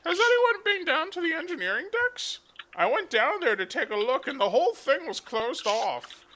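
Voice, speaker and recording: A man's voice: very high voice, sing-song, normal speed, bright, forceful tone, slight background noise, voice pushed up, full character voice. A man voicing an indignant, whiny tourist demanding a refund in a tabletop game.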